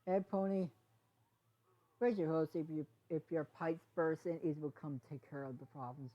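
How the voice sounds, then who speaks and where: A woman's voice: deep voice, steady tone, normal speed. A woman voicing an earnest, reassuring young female pony friend in a storybook reading, firm and encouraging. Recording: dull, lo-fi sound.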